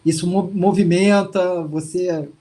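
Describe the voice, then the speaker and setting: Man, high voice, warm and mellow tone, hesitant casual talk, video call. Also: fairly even intonation, takes it slowly. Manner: hesitant, with many restarts and fillers. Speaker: interview guest. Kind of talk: casual testimonial chat.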